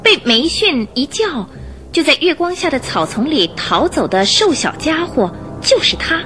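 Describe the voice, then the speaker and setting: A woman's voice: high-pitched, sing-song, a little slow, a bit bright, slight background noise. A woman giving scripted, storytelling narration for a radio drama. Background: background music.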